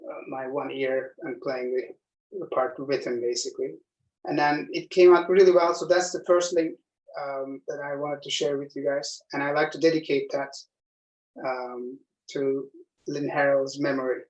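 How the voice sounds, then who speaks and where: A man in his forties with a Turkish accent, hosting a casual live chat as a cellist, speaking in a friendly, halting way with many ums. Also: on the high side, darkish tone, recorded from a distance. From Turkey. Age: 40s. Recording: echoey room, voice off-mic.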